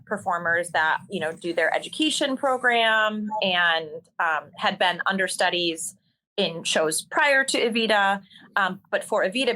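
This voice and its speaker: A woman with a bright, forward voice sitting lowish in pitch for her. She talks as an interview guest in a loose, chatty way, full of ums, likes and little restarts. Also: rough recording. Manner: chatty, many fillers and restarts. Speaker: stage actor and singer, guest. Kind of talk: casual conversational storytelling.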